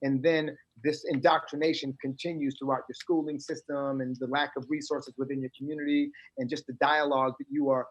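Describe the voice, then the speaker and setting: A middle-aged American man, a guest on a casual podcast interview, talking in an animated, emphatic, streetwise way. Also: mid-range voice, fairly quick, hardly any pauses, dull audio. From United States.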